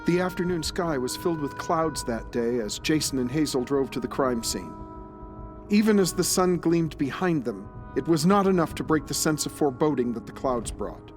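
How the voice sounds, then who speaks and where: A man's voice: fairly high pitch, expressive, moderate pace, soft background music. A man reading scripted narration for an audio drama, as its narrator.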